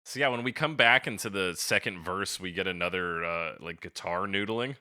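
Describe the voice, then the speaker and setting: A man's voice: low voice, normal speed, bright, forceful tone, dry recording, clean studio sound. A man co-hosting a podcast, talking casually about music with informal, joking banter. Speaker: podcast co-host. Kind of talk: casual music discussion chat.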